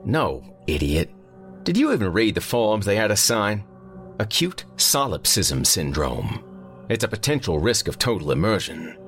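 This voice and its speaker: A man's voice: fairly low pitch, very animated, normal speed, a bit bright, soft background music, voice pushed up. A man voicing a sardonic, brainy male tech colleague in an audiobook story, wry, emphatic and conversational. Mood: dry, impatient.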